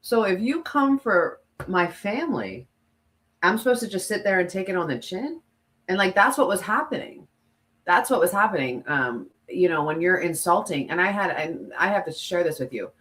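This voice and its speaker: Low-voiced woman co-hosting a candid podcast. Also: sing-song, normal speed, fairly bright tone. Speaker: podcast co-host, former TV news presenter. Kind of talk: candid conversational podcast talk.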